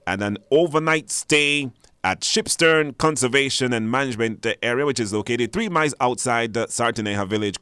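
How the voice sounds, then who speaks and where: A man's voice: mid-range voice, a bit bright, smooth, no echo. A man hosting a live radio show in a conversational way, repeating phrases for emphasis.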